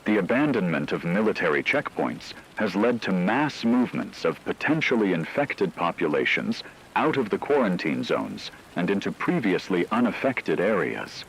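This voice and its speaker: Male actor as radio drama news anchor: very low pitch, measured and factual, grave, faintly hissy broadcast. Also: sing-song, gravelly, exaggerated voice, low-quality recording. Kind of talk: scripted emergency news report.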